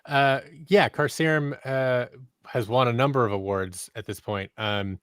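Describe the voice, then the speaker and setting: A man's voice: medium pitch, steady tone, unhurried, a bit bright, smooth, no echo. Male interview guest, thirties, enthusiastic rambler, close dry mic. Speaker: guest, audio drama creator and actor. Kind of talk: casual interview chat. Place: small home room on a video call. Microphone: close studio mic on boom arm with headphones.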